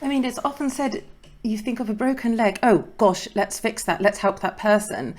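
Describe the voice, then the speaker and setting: A woman's voice: mid-range voice, normal speed. A woman hosting an interview show, asking questions and pressing her points in a concerned, emphatic way. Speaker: host. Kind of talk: video interview.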